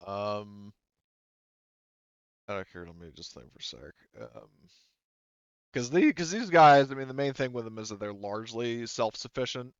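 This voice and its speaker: A man working as game master, narrating a tabletop roleplaying game casually and haltingly as he thinks aloud. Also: mid-range voice, animated, smooth, no echo, dull audio.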